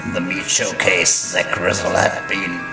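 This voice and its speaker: An actor playing a ghoulish, gleeful horror storyteller in an audio drama, theatrical, drawling and taunting. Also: male voice, low voice, monotone, unhurried, gravelly. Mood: grim relish. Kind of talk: horror anthology narration. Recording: roomy echo, distant, music underneath.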